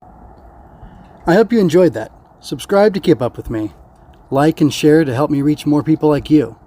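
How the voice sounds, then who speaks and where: A man's voice: on the high side, expressive, a little slow, warm tone. A man podcast host giving a casual, unscripted solo review, thinking aloud and often interrupting himself as he rambles.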